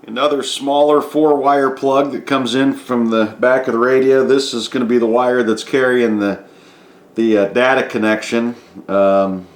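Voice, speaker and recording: A man's voice: mid-range voice, steady tone, moderate pace. A man giving an unscripted, methodical step-by-step narration of a hands-on repair demonstration. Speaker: DIY repair presenter. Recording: echoey room.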